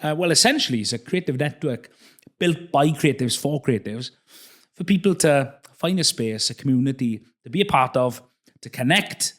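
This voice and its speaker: Man hosting a relaxed chat. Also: mid-range voice, normal speed. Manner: friendly, hesitant with frequent uh fillers. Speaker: podcast host. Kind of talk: casual interview chat.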